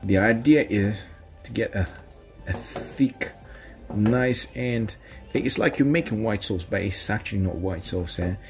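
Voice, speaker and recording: A Zambian-accented man in his thirties walks viewers through a recipe in a small home kitchen. He sounds chatty and encouraging, peppering his steps with fillers and little "mm?" checks. Music plays clearly behind him. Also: on the low side, unhurried. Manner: chatty and encouraging, many fillers and questioning "mm?" tags. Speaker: cooking channel host. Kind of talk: home cooking demonstration.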